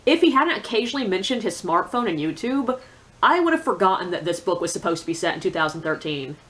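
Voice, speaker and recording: A woman's voice: fairly low pitch, very animated, quick pace, fairly bright tone. A woman giving a casual, opinionated book review in a blunt, sardonic, conversational way with emphatic asides.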